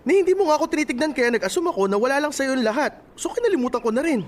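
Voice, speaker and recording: A man's voice: very high voice, lively intonation, rapid-fire, slight background noise. An actor playing a caring, easily exasperated young man devoted to his grandmother in a radio drama, earnest and mildly scolding, with a Filipino accent. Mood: reproachful.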